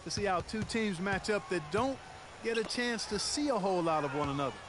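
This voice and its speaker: A man's voice: high-pitched, expressive, fairly quick. A man voicing a folksy color commentator in a basketball video game. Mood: analyzing. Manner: folksy, chatty analysis. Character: folksy male color analyst, upbeat. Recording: game audio with music behind.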